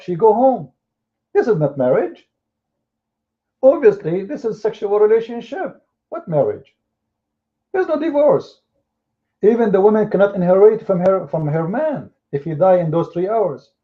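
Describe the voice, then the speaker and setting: A man with an Arabic accent argues his point hard in a live debate, pressing his opponents with mocking rhetorical questions. His voice sits a little high for a man. The audio is thin and boxy, like a phone line. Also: very animated, measured pace, pauses often. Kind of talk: heated live online debate.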